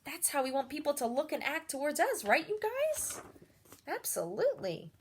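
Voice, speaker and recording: A woman reading a children's picture book aloud like a teacher, expressive and encouraging, putting questions to her young listeners. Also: high-pitched, normal speed, slight background noise. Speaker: read-aloud storyteller and teacher.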